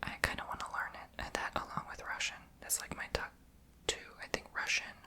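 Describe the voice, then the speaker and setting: Chatty whispering woman doing ASMR. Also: fairly quick. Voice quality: says she is tongue-tied and makes her r in the throat. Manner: soft unvoiced whisper, chatty and rambling. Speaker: ASMR creator.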